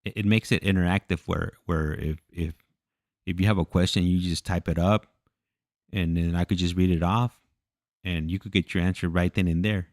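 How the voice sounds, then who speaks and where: A man chatting casually as he hosts a livestream, halting, repeating himself and losing his thread. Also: low-pitched, steady tone, no echo, studio quality. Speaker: livestream host. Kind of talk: casual rambling livestream chat.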